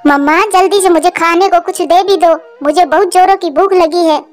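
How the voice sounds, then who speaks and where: A woman's voice: extremely high pitch, normal speed, soft background music. A woman voicing a spoiled, rude young male crow, demanding and cocky, in a cartoon. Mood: demanding, annoyed. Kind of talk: Hindi cartoon voice acting.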